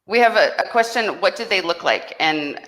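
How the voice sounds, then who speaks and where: A woman hosting a live Q&A and informally explaining a product, with a slightly halting delivery. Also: lowish voice, lively intonation, fairly bright tone, low-quality recording. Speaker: host and company representative.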